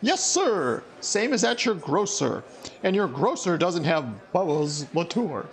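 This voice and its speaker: A man playing a deadpan nightclub waiter with dry politeness in an old-time radio comedy. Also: high-pitched, unhurried, full character voice. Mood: dry, polite.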